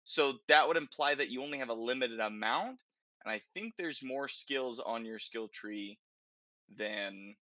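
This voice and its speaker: A man's voice: medium pitch, a little slow, bright, forceful tone, dry recording. A man co-hosting a casual podcast discussion about a video game, talking informally with lots of "like" and "kinda". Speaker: podcast co-host presenting game details.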